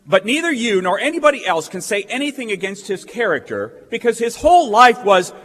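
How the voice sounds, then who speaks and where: Earnest young small-town idealist played by a male actor, high and swooping, impassioned radio-play delivery. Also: sing-song, fairly quick, fairly bright tone. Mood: indignant. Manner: impassioned, stammering, folksy speech. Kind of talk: staged old-time radio play acting. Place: theatre stage. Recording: reverberant stage hall recording.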